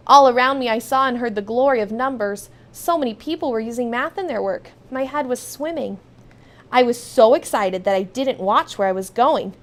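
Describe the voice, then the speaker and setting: A woman with a fairly high voice narrates a children's storybook in the first person. She reads expressively, putting clear emphasis on key words. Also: moderate pace. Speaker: storybook reader.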